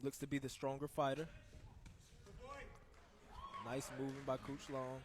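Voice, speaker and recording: A man's voice: mid-range voice, completely flat delivery, measured pace. A man giving casual live analysis at ringside of a kickboxing fight, offering opinions on technique.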